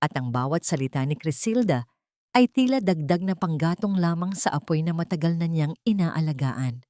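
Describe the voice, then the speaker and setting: A woman's voice: sits low, expressive, normal speed, a little mellow, no echo, clean studio sound. A woman narrating a scripted romance drama in a dramatic storytelling style.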